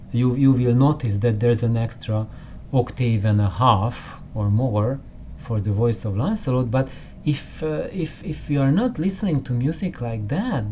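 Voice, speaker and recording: Slow, mellow-voiced man talking audio gear. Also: mid-range voice, dull audio, slight background noise. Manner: halting, with many repeated words and restarts. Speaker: audio channel host. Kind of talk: casual explanatory talk to camera. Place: home listening room with poor acoustics.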